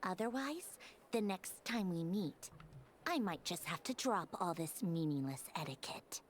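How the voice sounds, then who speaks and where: A woman's voice: lowish voice, animated, a little slow, slight background noise. An actress voicing a refined, menacing young lady villain in a video game, speaking pompously with mock politeness. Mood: coolly threatening.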